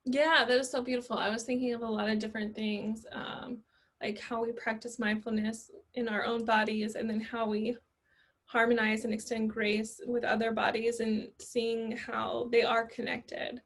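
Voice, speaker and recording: Young female podcast host, slightly high voice, casual and reflective, feeling her way through each thought. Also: little pitch movement, moderate pace. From grew up in a Western country. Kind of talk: casual reflective interview chat.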